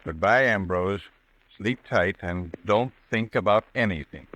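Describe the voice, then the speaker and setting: An actor playing a concerned, reassuring middle-aged gentleman in 1940s radio style, placating and old-fashioned in manner. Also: lowish voice, a little slow, low-quality recording. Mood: placating, uneasy. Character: concerned, reassuring male doctor and friend. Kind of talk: 1940s radio suspense acting. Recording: dry vintage radio drama recording.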